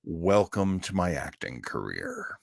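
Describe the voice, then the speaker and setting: A man's voice: low-pitched, big pitch swings, slow pace, vocal fry, no echo, clean studio sound. A man hosting a casual live online show, talking to viewers in a chatty, jokey manner. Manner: chatty and jokey, often chuckling. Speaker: actor. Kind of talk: casual livestream chat to viewers.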